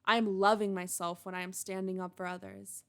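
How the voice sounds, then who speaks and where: A woman reading a personal, reflective monologue in an earnest, measured, introspective way. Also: medium pitch, level tone. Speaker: monologue writer and reader.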